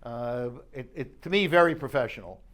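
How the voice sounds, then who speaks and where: A man's voice: mid-range voice, moderate pace, fairly bright tone. A man working as an audio dealer, explaining gear informally and correcting himself as he goes. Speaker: audio dealer giving a product demonstration. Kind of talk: informal show-room product walkthrough. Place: exhibition suite at an audio show.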